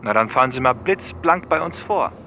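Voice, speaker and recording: An actor playing a brisk, dry, official male police detective in a radio drama. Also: medium pitch, lively intonation, vocal fry, a little hiss or hum. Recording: dull sound with faint vehicle ambience.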